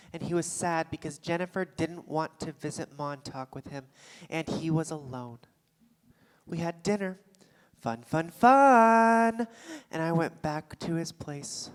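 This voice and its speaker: A woman's voice: low voice, natural voice. An actress playing a defensive, rattled woman with a secret affair in a live staged play reading. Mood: wistful, sad. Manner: indignant, sarcastic, stammering when rattled. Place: theatre stage before a live audience. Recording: live theatre stage, long hall reverb.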